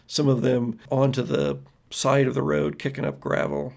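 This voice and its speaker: Gravelly American man narrating a horror game. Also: mid-range voice, steady tone, darkish tone. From United States. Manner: dramatic, deliberate storytelling with trailing pauses. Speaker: game master and podcast host. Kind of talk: improvised tabletop horror narration.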